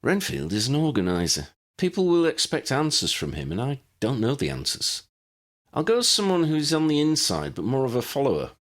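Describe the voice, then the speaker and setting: A man's voice: mid-range voice, wildly swooping pitch, brisk, voice pushed up. A man voicing a cocky, wry young male journalist with an airy, confident manner in an audiobook story. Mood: firm, planning.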